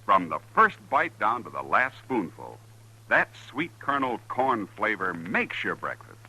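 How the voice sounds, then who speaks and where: A man with a husky voice delivering a 1950s radio commercial pitch as an announcer. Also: very animated, a little slow, sharp tone, low-quality recording.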